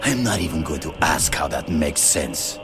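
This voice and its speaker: Gravelly, gruff demon hunter. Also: male voice, animated, normal speed. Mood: sardonic, irritated. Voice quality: gravelly, low. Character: foul-mouthed, gruff male demon hunter, brash and sardonic. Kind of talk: video game cutscene acting. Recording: loud game music behind the voice.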